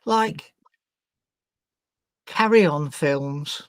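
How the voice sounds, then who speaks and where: A woman's voice: low voice, animated, extremely slow, no echo, clean studio sound. A woman co-hosting an online show in casual chat, with a wry, grumbling manner.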